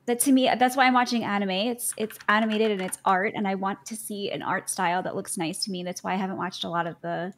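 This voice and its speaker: Chatty young woman rambling about anime. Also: mid-range voice. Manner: chatty and rambling, heavy use of "like", quick to laugh. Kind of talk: casual chat.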